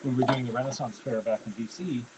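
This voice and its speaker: A man's voice: mid-range voice, fairly even intonation, fast. A middle-aged man from Ontario with a small-town Canadian accent, chatting casually on a livestream with many fillers and restarts. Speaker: livestream host. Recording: loud background noise.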